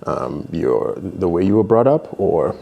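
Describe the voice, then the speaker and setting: A man in his thirties hosting an interview, asking halting questions and backing the guest up with frequent short replies, in a small studio room. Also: deep voice, sing-song, gravelly, slightly rough, dull audio. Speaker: interview host. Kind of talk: casual interview.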